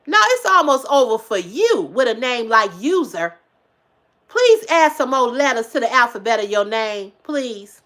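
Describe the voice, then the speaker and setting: A woman in her fifties hosting a casual livestream, talking emphatically to her viewers, repeating herself for effect, swearing freely and swinging between loud exclamations and low asides. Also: very animated, a little slow. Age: 50s. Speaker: livestream host.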